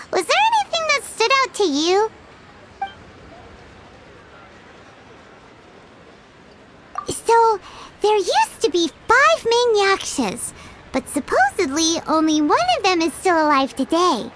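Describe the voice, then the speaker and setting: Tiny game fairy sidekick, extremely high squeaky childlike voice, lively swooping intonation, excitable, inquisitive. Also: female voice, very noisy, exaggerated voice. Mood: musing, curious. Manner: refers to self in third person, exclamatory. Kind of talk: video game character dialogue. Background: music.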